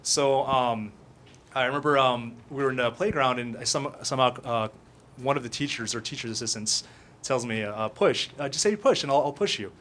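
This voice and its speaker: Halting young man telling his story. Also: medium pitch, fairly bright tone, a little hiss or hum. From United States. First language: Filipino. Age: young adult. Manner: halting, many fillers and restarts. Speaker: panel speaker. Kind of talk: personal story at a speaker panel.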